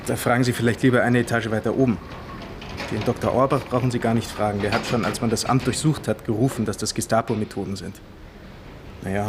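An actor playing a timid, evasive young police guard in a radio drama, with a Bavarian accent and a plain, hesitant manner. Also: male voice, fairly low pitch, lightly acted. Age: young adult. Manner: plain-spoken, hesitant, defensive. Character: timid 21-year-old male police guard, evasive, dutiful. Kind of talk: naturalistic radio drama dialogue. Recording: clearly noisy ambient background.

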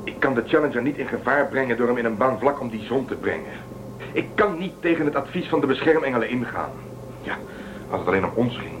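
A man's voice: medium pitch, animated, quick pace, background noise, close to an everyday voice. A man playing a stubborn, cautious ship commander in a radio play, firm and dismissive.

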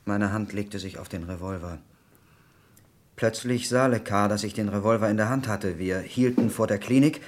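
An actor playing a tormented doctor in a radio crime drama, speaking gravely and dramatically in clipped sentences. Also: male voice, lowish voice, moderate pace, noisy background, close to an everyday voice. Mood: grim, confessing. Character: tormented male doctor insisting on his guilt.

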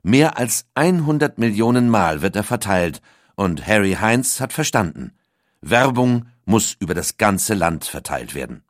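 A man's voice: lowish voice, very animated, a little slow, dry recording, studio quality. A man reads scripted documentary narration as one of its narrators. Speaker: second narrator of an audio documentary.

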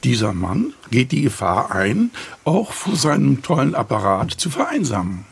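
An extremely rough, creaky-voiced man of middling pitch offers opinions in casual discussion. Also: huge pitch swings, normal speed.

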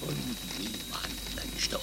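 A man's voice: slow pace, over music, close to an everyday voice. A man playing a boastful, jealous, taunting megalomaniac villain in a satirical radio play, with theatrical outbursts. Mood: triumphant menace. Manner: theatrical, boastful, swinging between muttering and outbursts.

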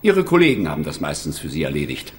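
Stern, animated male interrogating official. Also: rumbling low, fast talker, a little hiss or hum, heavily acted. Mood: accusatory. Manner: formal, accusatory. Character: stern, sarcastic male official questioning the accused. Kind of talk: German radio crime drama acting.

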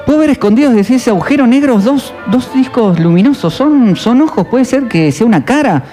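A man's voice: very high voice, expressive, fairly quick, mellow, faint music behind, voice pushed up. A man playing a frightened male field reporter in a radio drama, stammering in fear. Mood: terrified. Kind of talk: 1930s-style radio drama acting.

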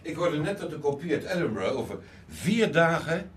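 An actor in a radio play as a courteous, wealthy male host, speaking formally and deliberately with curiosity. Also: a little high, very animated, noisy background. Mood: gracious. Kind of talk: radio drama acting.

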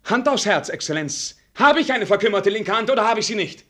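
An actor playing a melancholy young prince in a radio drama, weary of courtly formality and declaiming with theatrical bitterness. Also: male voice, high voice, animated, brisk, pushed and bright, exaggerated voice. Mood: bitter, anguished. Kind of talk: German radio drama acting.

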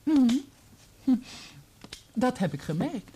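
An actress playing a guarded, worldly society woman in a Dutch radio play, with a husky voice. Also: a little high, very animated, slow, warm tone, heavily acted.

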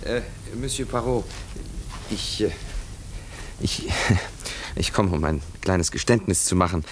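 Deep-voiced, nervous young secretary. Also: male voice, expressive, slow, slight background noise. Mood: embarrassed, nervous. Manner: hesitant, stammering, nervous little laughs. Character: embarrassed young male secretary making a confession. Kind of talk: 1950s German radio drama acting.

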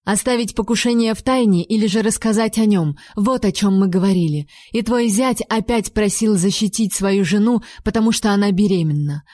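A fiery young heroine with a warm, mellow voice, as a woman reader raises her pitch for her. Her words land with heat and emphasis. The sound is dry and close. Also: moderate pace, no echo, close to an everyday voice. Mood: indignant. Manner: heated, emphatic accusations.

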